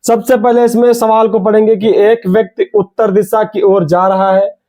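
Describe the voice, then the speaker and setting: A man's voice: sits high, darkish tone. A young man with a sore throat teaching an online exam reasoning class, urging students on and repeating key words.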